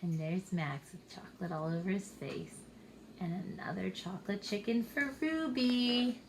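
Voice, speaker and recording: A woman's voice: mid-range voice, normal speed, background noise. A woman reading a story aloud expressively, as if to young children. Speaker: storytime reader. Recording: roomy echo.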